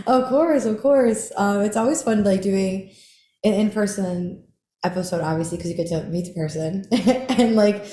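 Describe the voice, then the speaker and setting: A young woman hosts a podcast in relaxed chat, giggling often and filling her talk with little "like"s. Her voice is mellow and soft-edged, and the room around her rings with a roomy echo. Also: mid-range voice, moderate pace. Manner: giggly, chatty, lots of "like". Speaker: podcast host. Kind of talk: casual chat. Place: in person, indoors.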